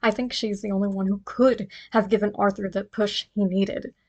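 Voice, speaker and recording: A woman's voice: mid-range voice, fairly even intonation, moderate pace. A woman giving a prepared listener voice message, speaking earnestly and with enthusiasm about a story.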